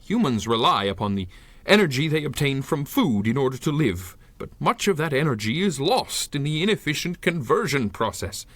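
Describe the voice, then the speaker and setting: Excitable old eccentric scientist. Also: male voice, on the high side, no room sound. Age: older. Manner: formal, flustered, grandiose, stammering. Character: eccentric, earnest old scientist, pleading and proud. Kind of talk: 1930s-style radio drama acting.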